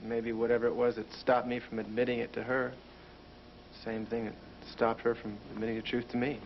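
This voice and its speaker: An actor playing a troubled, self-critical husband who broods and questions himself, in a soap opera. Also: male voice, mid-range voice, level tone, brisk, a little hiss or hum, close to an everyday voice. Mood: brooding. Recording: dull lo-fi TV recording.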